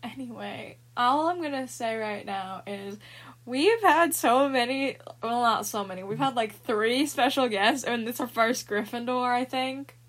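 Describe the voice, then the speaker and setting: A woman's voice: fairly high pitch, a little slow, a bit bright. Rambling young woman hosting a podcast. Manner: enthusiastic, rambling. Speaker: podcast host. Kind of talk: casual podcast chat.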